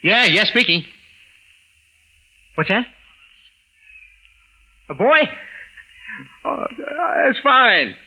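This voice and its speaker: Stammering, excitable husband in vintage radio drama. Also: male voice, sits high, very slow, pauses often, slightly rough, heavily acted. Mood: flustered. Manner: stammering, bewildered. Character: excitable, stunned everyman husband telling his incredible story. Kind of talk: 1940s radio drama acting. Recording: noisy vintage radio recording.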